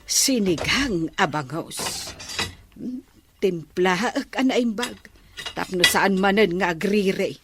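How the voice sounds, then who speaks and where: A woman's voice: medium pitch, lively intonation, slow pace, noisy background. A woman with an Ilocano accent playing a doting but easily exasperated housewife in a radio comedy drama. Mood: contented, humming.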